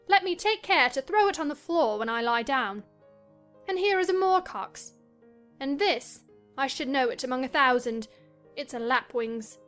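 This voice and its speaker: A woman playing a feverish, delirious young gentlewoman in a dramatized classic novel reading, theatrical and shifting between dreamy murmurs and anxious outbursts. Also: sits high.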